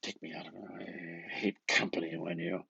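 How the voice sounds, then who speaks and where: A man gives an expressive, theatrical reading of a literary novel, moving between murmured asides and louder phrases. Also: low-pitched, moderate pace, gravelly, lo-fi sound, slight background noise. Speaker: reader of a novel.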